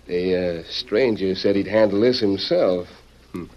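A man playing a bossy, hot-tempered outlaw in an old radio western, talking in gruff, commanding cowboy lingo. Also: low voice, measured pace. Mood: scheming. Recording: muffled old radio recording with hiss.

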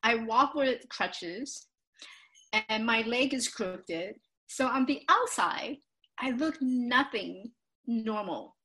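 A woman's voice: on the high side, expressive, takes it slowly, sharp tone, faint music behind, rough recording. A woman from Taiwan, telling her personal story earnestly as an interview guest. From Taiwan, moved to the United States at fifteen. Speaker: podcast guest, author.

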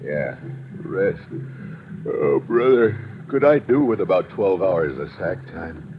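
A man's voice: low voice, lively intonation, slow, noisy background. A man playing a tough, weary American adventurer in an old radio drama, clipped and slangy as he narrates and gives orders. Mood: weary, wary. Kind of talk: old-time radio adventure acting, hard-boiled narration. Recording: dull vintage radio recording, music behind.

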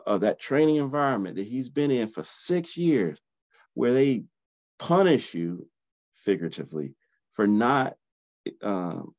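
A man's voice: medium pitch, no echo, dull audio. Groggy man on a dry podcast. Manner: animated, conversational, restarts phrases often. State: groggy early-morning voice. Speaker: podcast host, soccer training founder. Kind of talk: solo podcast monologue.

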